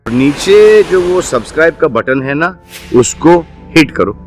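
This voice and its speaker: A man delivers a casual promotional plug with a very animated, swinging pitch. He urges the listener on, with faint music behind his voice. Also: measured pace. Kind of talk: promotional subscribe call.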